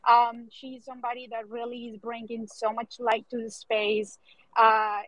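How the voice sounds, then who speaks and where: A woman's voice: a little high, fairly bright tone, no echo. A woman hosting an online audio chat, speaking hesitantly with many fillers. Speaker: host. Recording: dry dull lo-fi audio.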